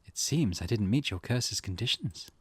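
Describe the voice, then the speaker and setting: A man's voice: fairly low pitch, expressive, moderate pace, husky, no echo, pitched below the performer's own voice. A man voicing a guarded, subdued mother in a visual novel, speaking hesitantly. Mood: resigned. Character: guarded, subdued mother weighing a desperate choice.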